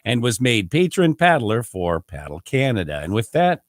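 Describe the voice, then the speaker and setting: A man's voice: medium pitch, sing-song, normal speed, no room sound, clean studio sound. A man from the United States hosting a casual podcast, joking and laughing often. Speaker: podcast host.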